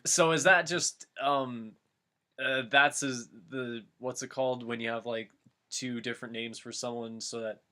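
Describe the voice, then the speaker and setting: A man's voice: mid-range voice, fairly even intonation, measured pace, bright, forceful tone. A man doing a comic read-aloud of a story, breaking off into casual banter. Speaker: reader of a fan-fiction dramatic reading.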